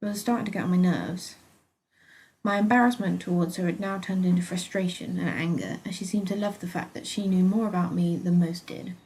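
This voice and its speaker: A woman with a British accent reading her own story aloud as an expressive first-person narrator. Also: lowish voice, a little fast, slight background noise, low-quality recording.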